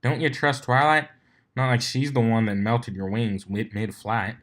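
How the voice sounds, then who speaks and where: A man's voice: mid-range voice, steady tone, normal speed, a bit bright, lightly acted. Man doing a folksy, teasing farm mare.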